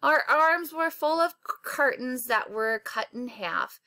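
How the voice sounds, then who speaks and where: A woman reads a children's story like a schoolteacher, in a high, bright voice. She takes her time with the narration, and the sound is dry. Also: expressive, unhurried, dry recording. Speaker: elementary teacher reading aloud. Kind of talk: scripted children's novel narration.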